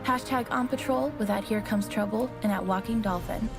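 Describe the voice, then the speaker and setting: A woman's voice: mid-range voice, moderate pace, vocal fry, over music, natural voice. A woman voicing an earnest, social-media minded superhero cop in a comic-book video game. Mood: earnest, upbeat.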